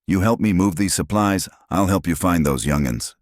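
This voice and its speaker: A man voicing a weathered, wry male marshal in a slow drawl with a gravelly edge. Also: low voice, no echo, studio quality, voice pushed up, natural voice. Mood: steady.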